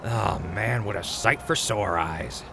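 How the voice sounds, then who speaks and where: A man acting as an irradiated ghoul in an audio drama. The voice is low and gravelly with a bright edge, wry and easygoing. Faint noise sits behind it. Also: slow pace. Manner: joking, hesitant, casual.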